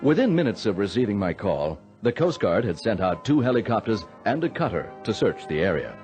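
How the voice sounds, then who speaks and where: A steady air traffic controller in a radio drama, recounting events gravely. The voice is fairly low with animated pitch, over faint music. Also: male voice, fairly quick. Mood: grave narration. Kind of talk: dramatic first-person radio narration and dialogue.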